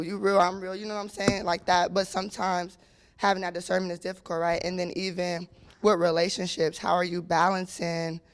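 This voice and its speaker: Hoarse woman asking a rambling question. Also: on the low side. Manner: chatty, rambling. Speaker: audience member asking a question. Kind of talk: casual question from the audience.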